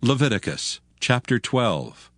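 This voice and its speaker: A man narrating scripture, slowly announcing chapter headings. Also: mid-range voice, sing-song, faint music behind.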